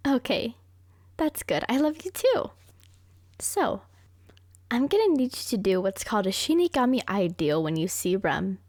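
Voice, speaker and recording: A woman's voice: fairly high pitch, sing-song, unhurried, a little mellow, no echo, clean studio sound. A woman playing a smug, brilliant, manipulative young serial killer girlfriend in an anime roleplay, boastful and coaxing. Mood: sweetly manipulative. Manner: boastful, coaxing, self-satisfied.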